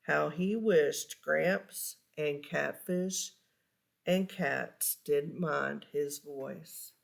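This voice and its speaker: A woman reading a children's storybook aloud with expressive narration and deliberate, animated emphasis on key words. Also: sits low, vocal fry. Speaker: storybook reader.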